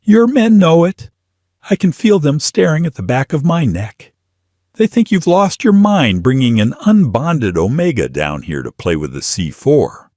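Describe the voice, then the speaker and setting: A man acts out a stubborn young mechanic in an audio romance drama. He is blunt, irritable and defiant, his pitch jumping around his lines on a very dry track. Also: big pitch swings, unhurried, dark tone, no room sound.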